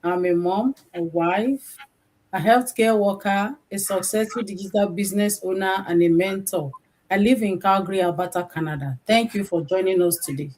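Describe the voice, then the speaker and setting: A woman's voice: mid-range voice, normal speed, darkish tone, poor audio. Nigerian woman introducing herself. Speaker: panel member, mentor and business owner. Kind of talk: self-introduction to an online audience.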